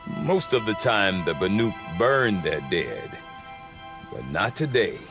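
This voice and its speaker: A knowing village trader in a fantasy game. His voice is very low and unhurried, grave and wry with lively rises and falls, over clear background music. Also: heavily acted. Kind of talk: dramatic game dialogue.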